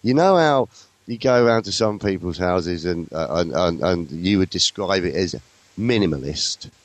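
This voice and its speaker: A man hosting an internet radio show, husky and throaty this week, joking in laid-back banter. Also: low-pitched, expressive, normal speed, dry recording. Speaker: radio host. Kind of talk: casual radio chat.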